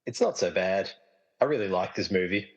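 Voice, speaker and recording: A man playing an easygoing, casually explaining film lover trapped in a movie, in a comedy radio play, with a husky voice and long reverb. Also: sits low, animated, moderate pace, lo-fi sound, heavily acted. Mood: mildly puzzled. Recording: long reverberant hall echo.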